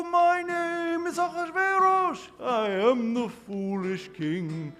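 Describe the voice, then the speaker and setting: A man's voice: sits high, heavily acted. A man singing as a foolish king in a children's puppet show.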